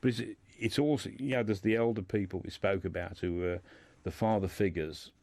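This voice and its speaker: A man speaking as a guest in a radio discussion, hesitant and halting, often repeating his words as he explains. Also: lowish voice, darkish tone, dry recording. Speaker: guest discussing a writer's life and books.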